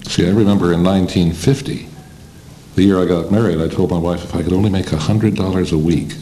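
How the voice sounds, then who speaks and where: A man's voice: sits low, steady tone, quick pace, dark tone. An older man chatting casually as an interviewer on a panel. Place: indoors at a panel table in a plain room. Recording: roomy, faintly noisy old videotape.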